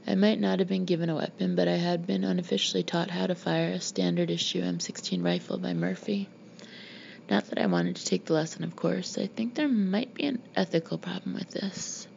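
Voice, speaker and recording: A woman narrates a memoir in the manner of an audiobook reader. Her voice sits on the low side with a lot of gravelly fry. The recording is a dull, homemade-sounding one. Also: brisk, a little mellow. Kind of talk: reading a memoir aloud, scripted narration. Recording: dull lo-fi home recording, background noise.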